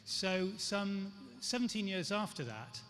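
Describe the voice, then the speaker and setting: A man's voice: sits high, expressive, unhurried, a bit bright. A middle-aged man from England, raised in Nigeria, giving a chatty, joking conference speech. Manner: chatty, joking and self-deprecating. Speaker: guest speaker, PR executive. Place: large event hall. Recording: long hall reverb, faint room noise.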